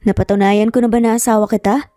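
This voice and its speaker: A woman's voice: fairly high pitch, level tone, fast talker, dark tone, no echo, close to an everyday voice. A Filipino woman voicing a bossy, playful young man in love, in a teasing, commanding way. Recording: music behind the voice.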